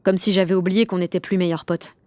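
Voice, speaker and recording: A woman's voice: lowish voice, fairly even intonation, fast talker, a little mellow, slight background noise, natural voice. An actress playing a rebellious, emotional sixteen-year-old girl who narrates an audio drama in blunt, sarcastic slang. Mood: sardonic. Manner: slangy, blunt teenage delivery. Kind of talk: first-person audio fiction narration.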